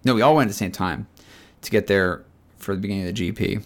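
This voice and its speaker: Low, scratchy-voiced man telling stories. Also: fast, creaky. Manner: rambling, chatty storytelling. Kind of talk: casual chat.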